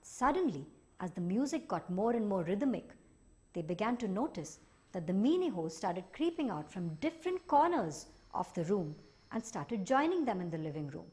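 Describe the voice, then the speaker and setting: A woman narrating a children's folk tale expressively, with dramatic, deliberate phrasing and emphatic repetition. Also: medium pitch, very animated, dark tone. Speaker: storyteller.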